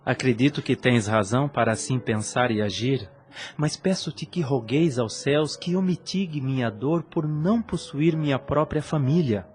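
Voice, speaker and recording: An actor playing a lovesick young priest in a solemn audio drama, ardent and tormented, with lofty, formal phrasing. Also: male voice, medium pitch, moderate pace, natural voice. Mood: earnest, troubled. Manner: lofty, formal, earnest delivery. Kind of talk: solemn audio drama acting of a religious novel.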